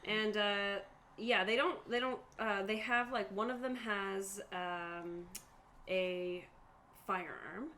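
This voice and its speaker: A woman's voice: medium pitch, fairly even intonation, slow, bright, forceful tone. Woman game master, informal, faint room noise. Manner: informal, explanatory. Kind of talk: improvised tabletop game narration.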